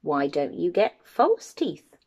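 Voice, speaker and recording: A woman's voice: on the low side, sing-song, slow pace, a little mellow, heavily acted. A woman voicing a polite, helpful young boy in a fairy tale, with a light, earnest way of explaining.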